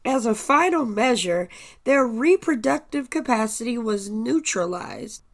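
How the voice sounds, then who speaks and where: An actress playing an obedient army corporal with a troubled conscience in a science fiction radio play, earnest and deferential. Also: female voice, on the high side, expressive, unhurried. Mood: solemn reading. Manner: deferential, earnest military address.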